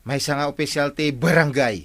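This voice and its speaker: A man's voice: on the high side, steady tone, fast, a bit bright. A man acting a cheeky, tattling village watchman in an Ilocano comedy drama, exaggerated and teasing, with an Ilocano accent.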